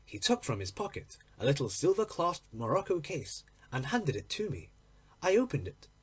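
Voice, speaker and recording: A man reading a literary story aloud in an expressive, measured, deliberate narrating style. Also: fairly high pitch, breathy edge. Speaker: audiobook reader.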